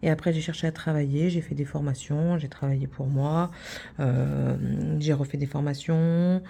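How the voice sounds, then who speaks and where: A woman's voice: deep voice, steady tone, normal speed, vocal fry, warm tone, breathy edge. A middle-aged woman from France, hosting a craft channel and chatting casually, rambling with many fillers and restarts.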